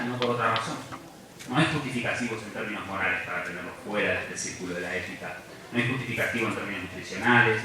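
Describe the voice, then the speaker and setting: A man's voice: lowish voice, distant mic, background noise. A man from Argentina giving a public lecture, speaking earnestly and reflectively, often restarting his phrases. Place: large university lecture hall. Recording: very echoey hall, voice off-mic.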